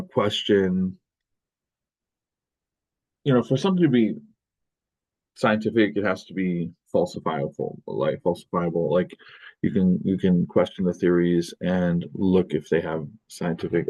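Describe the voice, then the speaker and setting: A middle-aged American man with a deep, low-pitched voice teaches a linguistics class from his desk. He talks in a loose, rambling way, full of fillers and self-corrections. It sounds like a webcam-recorded lecture. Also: measured pace, long pauses, warm tone, highs cut off. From United States. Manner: loose, rambling delivery with many fillers and restarts. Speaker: college linguistics instructor. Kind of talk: recorded lecture over screen share. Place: indoor room at a desk.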